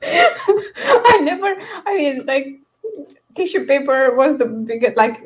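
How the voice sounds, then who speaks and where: High-voiced giggly woman, slightly dull sound. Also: lively intonation, slow pace. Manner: rambling, giggly. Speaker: host of a book read-along stream. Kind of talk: casual book chat.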